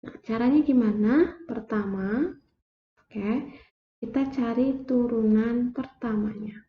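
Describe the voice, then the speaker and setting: A young woman explaining a math lesson slowly, step by step, like a teacher at a board. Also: fairly high pitch. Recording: echoey room, dull lo-fi sound.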